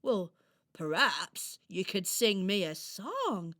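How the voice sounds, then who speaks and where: A woman as a slow, sleepy, kindly hedgehog. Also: mid-range voice, sing-song, a bit bright, no room sound, studio quality, full character voice. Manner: slow, soothing, drawn-out. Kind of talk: children's radio play acting.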